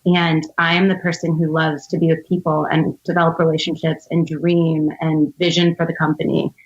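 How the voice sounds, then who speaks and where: A woman's voice: sits low, flat delivery, moderate pace, highs cut off. An American woman in her thirties telling personal stories in an articulate, reflective, conversational way as an interview guest. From United States, outside Philadelphia. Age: 30s. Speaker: podcast guest, entrepreneur.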